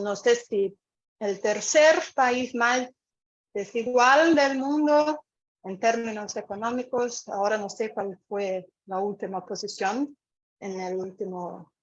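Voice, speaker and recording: A woman from Brazil with a Brazilian Portuguese accent speaking on a video call, unhurried and searching for words with many pauses. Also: fairly high pitch, muffled recording. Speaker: community member sharing. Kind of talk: online meeting talk. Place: home room with a fan.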